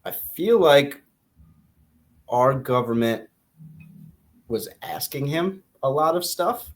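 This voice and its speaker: A man's voice: medium pitch, expressive, takes it slowly. Man casually talking through a book. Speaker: podcast co-host discussing a book.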